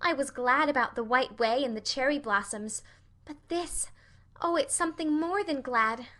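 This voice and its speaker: Woman as young orphan girl, high bright voice, earnest, dreamy, effusive, imploring, storybook character. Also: normal speed. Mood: tearful joy. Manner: effusive, wordy, dramatic. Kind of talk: audiobook character voice.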